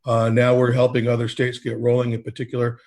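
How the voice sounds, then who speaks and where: A man's voice: mid-range voice, completely flat delivery, fast, a little mellow. A man, a state coalition leader from Oregon, talking steadily with many fillers on a panel call. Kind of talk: panel discussion on a video call. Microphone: video call.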